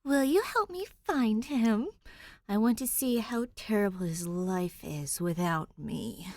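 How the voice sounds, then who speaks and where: A woman's voice: mid-range voice, lively intonation, slow, a little mellow, dry recording, voice pushed up. A woman voicing a spoiled, spiteful young ghost bride in a demanding, tantrum-throwing manner for a fan fiction reading. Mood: bitter, demanding. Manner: shrieking, growling, demanding tantrum.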